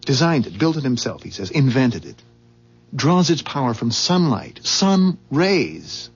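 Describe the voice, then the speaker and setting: Rattled, suspicious husband for vintage radio drama: husky, halting, emphatic, widely swinging pitch, dull tape sound. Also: male voice, very animated, heavily acted. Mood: agitated, uneasy. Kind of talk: 1970s radio drama acting. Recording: dull, muffled 1970s radio recording.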